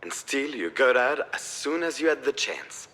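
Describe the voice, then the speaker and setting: A man's voice: mid-range voice, very animated, moderate pace, bright, forceful tone. An actor playing a grieving, self-blaming father in a narrative video game, weary and wounded in his delivery. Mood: anguished, bitter. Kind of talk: acted visual novel dialogue.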